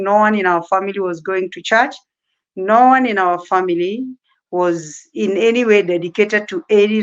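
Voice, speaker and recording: A Kenyan woman guest in an interview, talking earnestly about her early life, often repeating her phrases. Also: mid-range voice. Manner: earnest, repetitive, fervent. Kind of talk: interview storytelling. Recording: muffled lo-fi audio.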